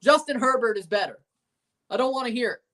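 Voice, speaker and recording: A man's voice: very high voice, lively intonation, a little fast, a bit bright. A young man hosting a live sports watchalong stream, reading chat aloud and giving opinionated takes. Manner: reads out team lineups. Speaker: livestream host reading chat.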